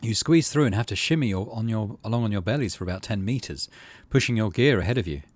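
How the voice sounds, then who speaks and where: A man's voice: fairly low pitch, animated, fast, dry recording. A man hosting a game playthrough, reading story text aloud expressively and adding casual asides. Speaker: gaming video host.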